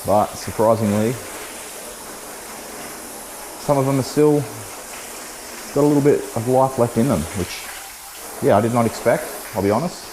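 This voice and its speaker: A man hosting a car detailing vlog, talking casually about a product test while losing his voice, a little hoarse, with plenty of "um" and "so yeah". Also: medium pitch, normal speed, long pauses, noisy background. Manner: relaxed, chatty, frequent "um" and "so yeah". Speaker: car detailing channel host. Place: outdoors beside a test panel.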